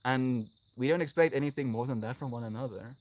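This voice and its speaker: Expressive man in a dry recording. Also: mid-range voice, fairly quick, no room sound, poor audio. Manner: effusive, affectionate, calling listeners "friend". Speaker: live streamer, host. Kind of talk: casual livestream chat, responding to viewer comments.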